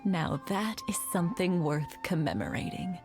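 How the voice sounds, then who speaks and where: An actress playing a warm elderly wife in a video game, gently teasing and motherly. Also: lowish voice, sing-song, moderate pace. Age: older. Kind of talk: game voice acting. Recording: game dialogue with music behind.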